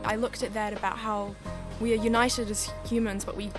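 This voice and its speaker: A teenage woman with a New Zealand accent answering interview questions casually, thinking aloud in a relaxed way. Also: mid-range voice, moderate pace. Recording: music playing under voice.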